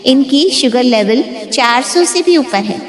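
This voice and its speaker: A woman's voice: high-pitched, animated, a little slow. A woman playing a stern, authoritative doctor giving advice in a family story drama. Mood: stern, advising. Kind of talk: scripted story drama dialogue. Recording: echoey room with long reverb.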